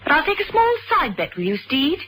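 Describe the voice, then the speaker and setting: A woman's voice: high-pitched, huge pitch swings, brisk, sharp tone, full character voice. An actress with a British accent playing a cool, witty, self-possessed woman crime-fighter in a vintage radio drama, arch and poised. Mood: wry, poised. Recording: noisy vintage radio recording.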